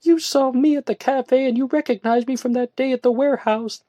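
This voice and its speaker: A woman reading a story aloud and voicing a distraught, volatile young woman who pleads and lashes out in shrill outbursts. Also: measured pace, mellow, dry recording. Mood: angry.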